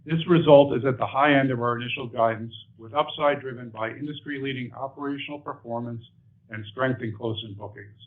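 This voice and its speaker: A man's voice: medium pitch, fairly even intonation, brisk. A male airline executive presenting and discussing company results in a businesslike way. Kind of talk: corporate earnings presentation and answers. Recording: narrow muffled conference-call phone audio.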